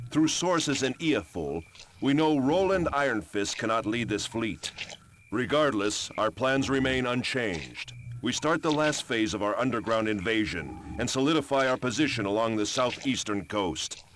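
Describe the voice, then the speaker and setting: An actor playing a ruthless warlord in a game cutscene, briefing his army in a stern, menacing, deliberate way. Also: on the low side, expressive, fairly bright tone. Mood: stern, businesslike. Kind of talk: scripted cutscene narration. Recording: very noisy old game audio.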